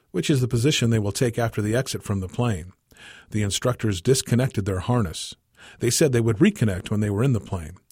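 A man with a husky voice reading a scripted short story as narrator. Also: lowish voice, darkish tone, no room sound, clean studio sound. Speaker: story reader.

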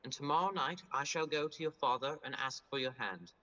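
An actor playing an awkward, earnest young clerk in a radio play, nervous and halting. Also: male voice, mid-range voice, lively intonation, bright, forceful tone, lo-fi sound. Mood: smitten.

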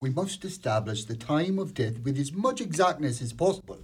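A man's voice: fairly high pitch, animated, normal speed, husky. A man playing a fussy, officious young Irish lawman in a radio play, formal but hesitant.

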